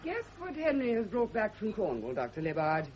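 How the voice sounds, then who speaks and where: A woman's voice: mid-range voice, huge pitch swings, a little fast, a little hiss or hum. An actress playing a proud, bitterly ironic middle-aged British woman in a radio drama. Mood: arch, bitter. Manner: arch, brittle, mocking. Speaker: actor in a radio play. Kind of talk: 1970s radio drama acting. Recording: dull, lo-fi old radio recording.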